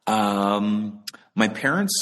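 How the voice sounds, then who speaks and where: A man from the Bahamas, an audiobook narrator and actor, talking about his work in a relaxed interview over a video call, with thoughtful pauses. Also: fairly low pitch, a little hiss or hum.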